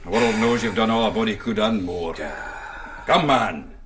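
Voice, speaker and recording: Man playing a hearty, low-voiced Scottish gentleman. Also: moderate pace, noisy background, natural voice. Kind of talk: radio drama acting.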